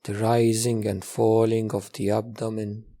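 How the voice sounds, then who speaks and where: A man teaches a guided meditation in a mellow, creaky voice. He is calm and measured, offering gentle instructions at a very unhurried pace. Phrases return in slight variations, separated by long pauses. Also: on the low side.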